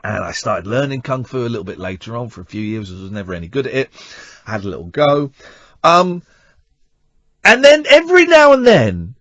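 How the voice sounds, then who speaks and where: Effusive British radio host, animated pitch. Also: male voice, dry recording, dull audio. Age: middle-aged. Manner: effusive, emphatic, repeating words. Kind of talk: enthusiastic, rambling radio monologue and interview.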